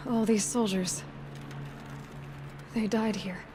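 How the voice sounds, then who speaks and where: A woman's voice: mid-range voice, fairly even intonation, slow pace, close to an everyday voice. An actress voicing a curious, sober young female explorer in video game dialogue. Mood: pensive, curious. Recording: clear ambient noise behind.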